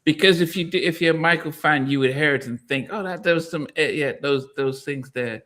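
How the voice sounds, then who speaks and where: A man's voice: on the high side, a little fast, bright, forceful tone. A man hosting a casual podcast, talking loosely with many fillers and restarts and laughing easily. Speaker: podcast host.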